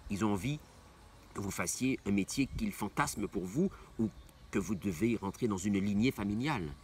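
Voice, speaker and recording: A man's voice: lowish voice, moderate pace, a little mellow. A middle-aged man from Martinique, an opera singer answering interview questions with passion, repeating phrases for emphasis. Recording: faint background noise.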